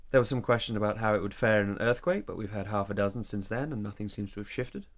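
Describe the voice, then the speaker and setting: An actor playing a reserved, evasive, work-absorbed boyfriend in a naturalistic audio drama, speaking in a terse, matter-of-fact, guarded way. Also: male voice, lowish voice, quick pace, natural voice. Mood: calm, casual.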